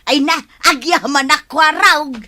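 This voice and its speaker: A woman's voice: high voice, measured pace, bright, forceful tone, heavily acted. A woman acting a cheeky freeloading neighbour in a comedy radio drama, giggly and drawling, with an Ilocano accent. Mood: chummy, nosy.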